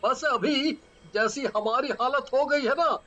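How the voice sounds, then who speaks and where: A man voicing an excitable young traveller in a cartoon story, with exclamatory delivery. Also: extremely high pitch, unhurried, heavily acted, low-quality recording. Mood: eager. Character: excitable young male friends on a night journey.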